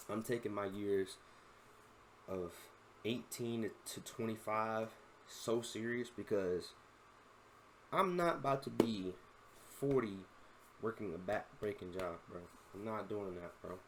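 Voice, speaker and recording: A man's voice: fairly low pitch, takes it slowly, long pauses, slight background noise. A 21-year-old man and content creator, giving casual, rambling reaction commentary full of slang and constant "bro.".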